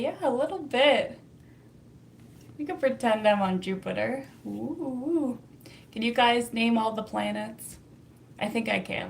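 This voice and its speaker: A woman's voice: fairly high pitch, gravelly, slight background noise. A young Canadian woman with a Canadian accent, hosting a casual livestream, chatty and laughing often as she answers viewer comments. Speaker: livestream host reading chat.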